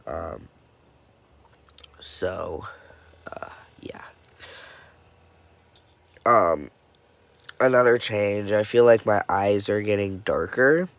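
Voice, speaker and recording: Slow, halting young man with a cold. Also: fairly low pitch, dry recording. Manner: halting, many pauses and fillers. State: congested, coming down with a cold; dead tired. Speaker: vlogger. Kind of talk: casual personal update.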